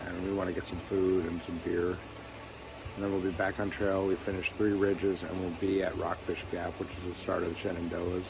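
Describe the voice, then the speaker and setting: A man with a fairly low voice records a casual hiking vlog outdoors. He rambles and thinks aloud, with clear traffic and outdoor noise around him. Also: little pitch movement, normal speed. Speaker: hiking vlogger. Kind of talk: casual video diary talk. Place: outdoors by a road or trail.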